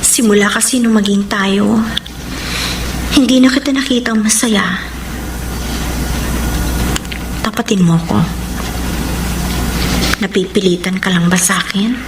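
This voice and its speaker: A woman's voice: mid-range voice, steady tone, moderate pace, pauses often, noisy background, lightly acted. A woman with a Filipino accent playing a jealous but devoted young woman in love in an emotive radio romance drama. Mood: tender, concerned. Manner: emotive, mixes Tagalog with English. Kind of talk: radio romance drama acting and letter narration. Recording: roomy, distant, loud music underneath.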